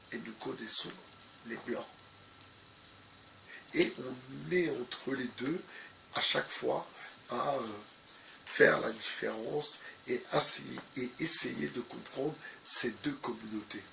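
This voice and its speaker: A man with a cold talks slowly and haltingly in a gravelly voice, leaning on fillers and looping back on his own phrases. His commentary is unscripted. Clear background noise runs under the recording. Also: medium pitch, a bit bright, low-quality recording. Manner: hesitant, repeats phrases, many fillers. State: has a cold. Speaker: video commentator.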